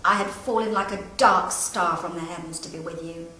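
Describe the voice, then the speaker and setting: An imperious, wronged queen in her forties, acted out by a woman in a grand historical monologue. Her low voice declaims theatrically, ringing around a very echoey hall, some way from the microphone, with a little noise behind. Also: normal speed, sharp tone. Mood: proud, grand. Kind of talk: first-person historical monologue. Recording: echoey great hall, distant mic, background noise.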